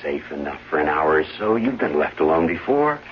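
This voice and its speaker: A man playing a smooth-talking husband with a short temper in a 1970s radio drama, soothing and evasive with his endearments. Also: a bass voice, big pitch swings, brisk. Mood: firm, placating. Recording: dull vintage radio broadcast, faint noise.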